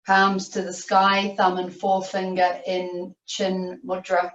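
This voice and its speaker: A woman yoga teacher leading a guided meditation, giving soothing, unhurried instructions with long pauses. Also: fairly low pitch, completely flat delivery, a bit bright. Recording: roomy, distant, muffled video-call audio.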